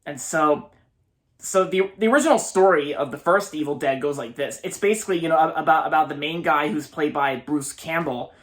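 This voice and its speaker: A man's voice: a little high, a little fast. A man in his twenties giving a casual, enthusiastic movie review, often repeating words and restarting his sentences. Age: 20s. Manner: enthusiastic, gushing.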